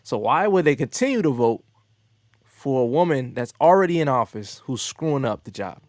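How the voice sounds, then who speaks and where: A man's voice: medium pitch, moderate pace, no room sound. A young man hosting his own channel, reacting to street interview clips with mocking, exasperated commentary from a bedroom studio. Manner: mocking, exasperated, animated asides. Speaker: host. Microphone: studio mic, headphones on.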